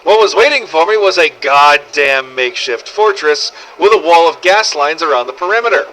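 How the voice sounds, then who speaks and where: An actor playing a foul-mouthed, cynical zombie-apocalypse survivor, narrating a wry audio diary through an old cassette recorder filter. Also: male voice, a little high, lively intonation, normal speed, bright, forceful tone, rough recording. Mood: angry, exasperated. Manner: sweary, sardonic, world-weary storytelling. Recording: old cassette tape with faint noise.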